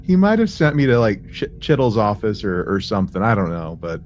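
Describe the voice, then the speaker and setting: A man's voice: on the low side, big pitch swings, brisk. Casual male podcaster, dull lo-fi sound. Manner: slangy, rambling, joking. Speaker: podcast co-host. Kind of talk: casual chat and anecdotes between friends.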